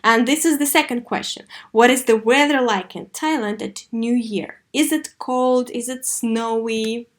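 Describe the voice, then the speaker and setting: A woman's voice: fairly high pitch, measured pace, hardly any pauses. A woman teaching an English video lesson to children, asking questions and modelling sentences expressively. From Russia. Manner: didactic, expressive model reading with clear questions. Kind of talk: video lesson for third graders.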